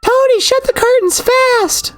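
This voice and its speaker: A woman voicing a stubborn, excitable little sister, childish and insistent, reading video game dialogue aloud. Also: very high voice, unhurried, faint music behind, pitched above the performer's own voice. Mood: frightened, pleading.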